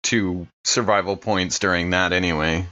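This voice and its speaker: A man's voice: low voice, fairly even intonation, moderate pace, bright, forceful tone, highs cut off. An American man chatting casually as a player in a tabletop roleplaying game.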